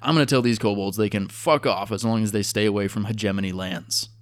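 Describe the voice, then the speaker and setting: A man's voice: lowish voice, fast, dry recording, clean studio sound, lightly acted. A man doing a laid-back, wry adventurer. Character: easygoing male adventurer, practical and wry.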